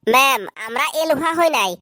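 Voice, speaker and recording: A woman's voice: sits high, a little fast, pushed and bright, dry recording, studio quality. A woman voicing a cheeky, wisecracking schoolboy with glasses in a cartoon. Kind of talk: cartoon dub acting.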